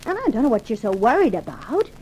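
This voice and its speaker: A young lady bodyguard in a 1950s radio mystery. Her voice sits a little high, and she speaks in a calm, matter-of-fact and devoted way. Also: fast talker, slight background noise, poor audio. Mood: earnest, admiring. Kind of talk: 1950s radio crime drama acting.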